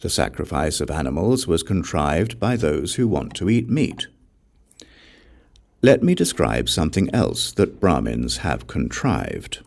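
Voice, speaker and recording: A man's voice: extremely low pitch, big pitch swings, measured pace, vocal fry, heavily acted. A man voicing a defiant outcast king who argues emphatically and rhetorically in a scripture audiobook. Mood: indignant reasoning. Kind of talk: dramatic audiobook reading of scripture.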